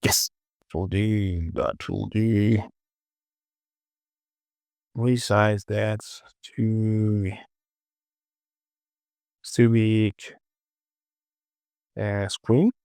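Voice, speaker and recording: A man casually presenting a software tutorial, talking to himself as he works and repeating short phrases. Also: on the low side, level tone, very slow, long pauses, clear, resonant tone, no room sound, studio quality.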